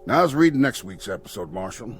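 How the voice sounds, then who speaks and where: Folksy, sly outlaw played by a man, lively pitch. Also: fast, soft background music, close to an everyday voice. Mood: scheming, amiable. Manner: folksy, colloquial cowboy talk. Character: folksy, sly Old West outlaw, cheerfully in charge. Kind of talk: radio drama acting.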